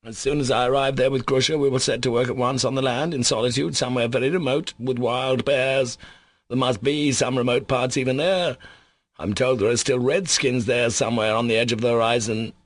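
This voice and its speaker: A man's voice: mid-range voice, fairly even intonation, brisk, no echo, pitched above the performer's own voice, close to an everyday voice. A man reading aloud as an anguished, passionate young Russian man who speaks in overwrought outbursts. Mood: fervent.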